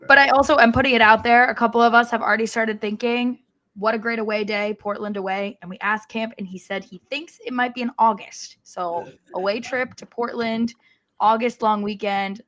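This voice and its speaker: A woman's voice: on the high side, fairly even intonation, normal speed, fairly bright tone. A woman co-hosting a casual sports podcast with a wry, teasing way of talking. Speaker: podcast co-host.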